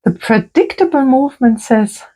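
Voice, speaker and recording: A woman working as a creative therapist, talking gently and softly through a calm crafting tutorial. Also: high voice. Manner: gentle, soft-spoken, unhurried.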